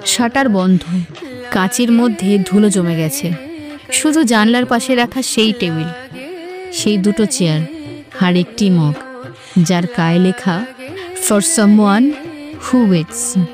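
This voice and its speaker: A woman's voice: mid-range voice, slow, pauses often. A woman narrator reading a scripted romantic story with sentimental, literary phrasing. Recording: music clearly behind the voice.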